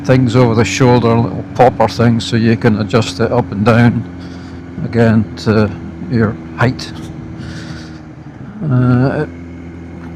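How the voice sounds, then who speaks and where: A Scottish man talks casually to viewers as he rides a motorbike, reviewing gear off the cuff. His delivery is slow and halting, broken into short phrases with pauses between them. His mid-pitched voice sits in clear wind and engine noise. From Scotland. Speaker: motorcycle vlogger. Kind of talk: casual unscripted product review while riding. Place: outdoors on a moving motorbike.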